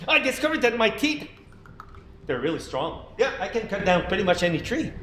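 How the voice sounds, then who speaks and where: Man doing a young beaver, high lively voice, boastful, drawn-out words, roomy echo. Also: slight background noise. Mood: proud. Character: earnest young male beaver builder.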